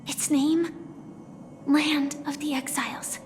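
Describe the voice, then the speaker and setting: An actress playing an earnest, wistful young woman hunter in a video game, speaking gently and with measured, hesitant pauses. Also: sits high, level tone, a little hiss or hum. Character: soft-spoken young female hunter confessing her secret identity, earnest, wistful. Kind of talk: scripted video game story dialogue. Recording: long reverb, music behind.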